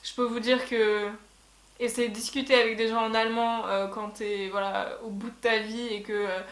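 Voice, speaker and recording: A woman's voice: on the high side, little pitch movement, normal speed. A young woman vlogger telling a travel story in a casual chat, with many fillers and restarts. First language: French. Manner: chatty, lots of fillers and restarts. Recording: echoey room.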